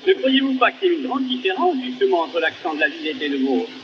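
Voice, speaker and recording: A man's voice: extremely high pitch, wildly swooping pitch, fast. A man interviewing in a polite, inquiring conversational way on a muffled, noisy early recording.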